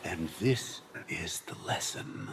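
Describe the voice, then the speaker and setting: Weary older Jedi teacher, played by a man, very low gravelly voice, solemn audio drama delivery over clear noise. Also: heavily acted. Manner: slow, solemn, instructive. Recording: noisy audio drama clip.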